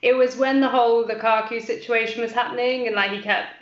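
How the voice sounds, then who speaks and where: A woman in her twenties from the English Midlands, talking casually as a guest on a video call from a small room at home, relaxed with many pauses and ums. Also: on the high side. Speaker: guest, fan channel creator. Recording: echoey room, dull lo-fi call audio.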